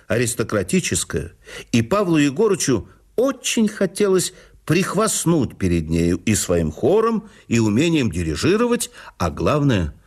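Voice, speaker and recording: A man reading memoirs aloud as scripted narration for a radio audiobook, measured and expressive, phrases falling at their ends. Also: mid-range voice. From Russia.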